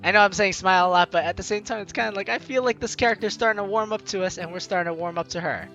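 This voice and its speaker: A man's voice: high voice, rapid-fire, sharp tone, muffled recording, soft background music. A man hosting a gaming video, playfully mixing jokey commentary with theatrical read-aloud narration.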